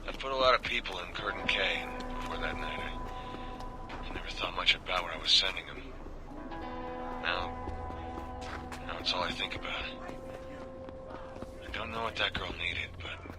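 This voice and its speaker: Deep, world-weary soldier, half-whispered. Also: male voice, sing-song, normal speed, pauses often, music in the background, poor audio. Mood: haunted, remorseful. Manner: blunt, brooding, confessional. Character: world-weary, remorseful male soldier recounting past captures. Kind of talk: scripted game audio log monologue.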